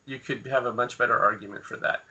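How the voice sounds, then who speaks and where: A man's voice: medium pitch, fairly even intonation, fast talker, bright, forceful tone, muffled recording. A man co-hosting a podcast, talking through his views in casual discussion. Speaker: podcast co-host.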